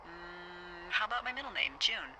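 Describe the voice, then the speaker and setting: A woman playing a wry, flirty, warm-hearted veteran fire lookout in a video game, talking casually and teasingly over a walkie-talkie. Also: on the low side, normal speed. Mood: playful. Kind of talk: naturalistic game dialogue. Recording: clearly noisy game ambience behind.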